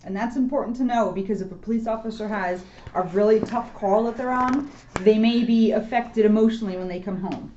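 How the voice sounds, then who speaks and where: A woman's voice: medium pitch, brisk, few pauses, mellow. A woman, a police officer, adding casual explanatory asides during a children's story reading. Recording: dull lo-fi sound with background noise.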